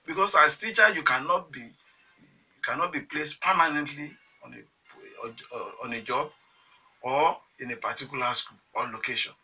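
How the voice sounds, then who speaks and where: A man's voice: mid-range voice, moderate pace, sharp tone, slightly rough, far from the mic, rough recording. A Nigerian man, a teachers' association chairman, answering earnestly in a TV interview with many restarts and fillers.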